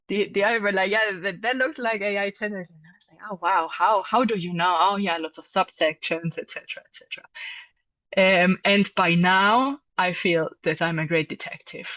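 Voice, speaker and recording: A woman's voice: medium pitch, moderate pace, fairly bright tone, no room sound. Hesitant, thoughtful woman editor in an interview; dull lo-fi, dry sound. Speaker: journal editor. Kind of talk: conversational interview answers.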